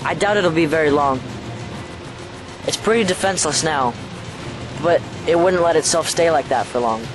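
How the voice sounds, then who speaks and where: A man plays a troubled son possessed by something inside him, in a high-pitched voice. The delivery is intense audio drama acting, with prominent music playing underneath. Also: normal speed, close to an everyday voice. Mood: resigned, weary. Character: troubled possessed son, menacing then resigned.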